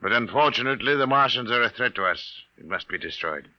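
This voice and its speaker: A man's voice: mid-range voice, animated, quick pace, dry recording, lightly acted. A man playing an aloof Venusian of about sixty who speaks formally and imperiously in a 1950s radio drama. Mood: stern. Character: tall, aloof sixty-year-old male Venusian rescuer. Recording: muffled vintage 1950s radio recording.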